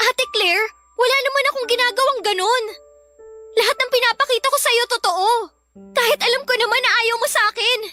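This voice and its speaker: A woman's voice: very high voice, a little fast, sharp tone, soft background music. A woman playing a hurt, affectionate younger sister in a Filipino radio drama, pleading earnestly with a Filipino accent. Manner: plaintive, earnest.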